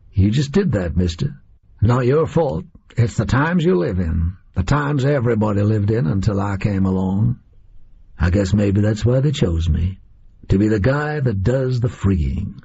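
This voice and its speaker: A man doing a gravelly, drawling gunman. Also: medium pitch, lively intonation, moderate pace, mellow, full character voice. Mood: sardonic. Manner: drawling, casual slang. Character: tough American male gunman, blunt and sardonic. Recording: muffled lo-fi recording.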